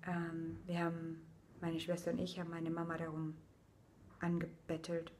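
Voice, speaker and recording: A young woman from eastern Germany speaks straight into a webcam in a small room. Her talk is loose and unscripted, full of small fillers and restarts, and she turns softer and quieter on the painful parts of her story. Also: sits low, monotone, moderate pace, a little hiss or hum. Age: young adult. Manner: casual, searching for words, many fillers, drops quieter on painful parts. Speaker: vlogger. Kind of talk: personal video diary. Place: indoors, small room with a painting behind her.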